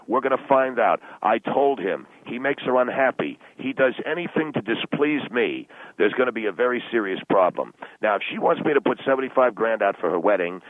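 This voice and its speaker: A man's voice: medium pitch, no room sound, close to an everyday voice. A man playing a brash, miserly middle-aged ad executive and father of the bride, blustering and argumentative on a radio call-in comedy show. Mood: indignant, blustering. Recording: band-limited tinny phone line.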